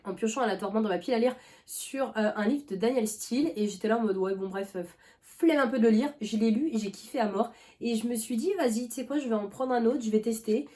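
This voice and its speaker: Chatty young woman with a mellow voice. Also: on the high side, fast. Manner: chatty, rambling, many fillers and restarts. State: coughing now and then. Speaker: book vlogger. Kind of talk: casual chat.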